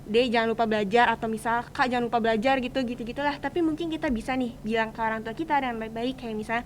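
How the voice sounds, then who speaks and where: A woman's voice: on the high side, fairly even intonation, quick pace. A teenage young woman with a Jakarta accent hosting a casual student podcast, chatty and encouraging in colloquial speech. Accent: Jakarta Indonesian. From Indonesia. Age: teens, high school student. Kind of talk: casual chat, interviewing. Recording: faint background ambient noise.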